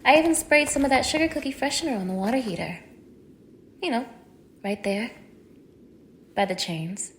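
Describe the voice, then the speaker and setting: A woman's voice: on the high side, animated, moderate pace, pauses often. Woman playing a falsely friendly, teasing neighbour, noisy background. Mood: condescending, quietly menacing. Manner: casual, coaxing, teasing, with small laughs and hushed asides. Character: young woman, teasing fake-friendly neighbour hiding obsessive menace. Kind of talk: intimate ASMR audio roleplay to the listener.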